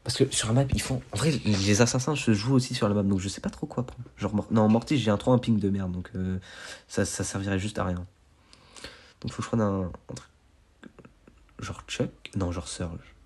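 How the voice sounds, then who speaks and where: A young man with a cold, nasal and stuffy, giving excitable, slangy commentary over his own gameplay and poking fun at his mistakes. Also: lowish voice, slightly rough. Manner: excitable, self-mocking, slangy. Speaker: gaming YouTuber.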